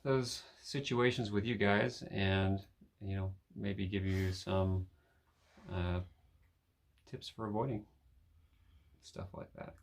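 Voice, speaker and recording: Low, gravelly low-key man. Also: steady tone, takes it slowly, long pauses. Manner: low-key, brief replies. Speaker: co-host, husband. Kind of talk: casual chat.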